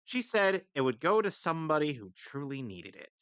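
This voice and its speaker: A man narrates a comic script as a co-reader on a livestream. His voice is bright and forceful with big pitch swings, picked up close and dry. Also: big pitch swings, moderate pace, clean tone, no echo. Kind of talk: lively comedic read-aloud narration.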